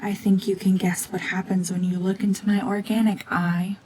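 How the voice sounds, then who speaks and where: A woman voicing a cold, mean renegade gorgon witch in a hushed, half-whispered ASMR drama, domineering and sardonic. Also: mid-range voice, level tone, moderate pace, a little hiss or hum, lightly acted, rough recording. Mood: threatening.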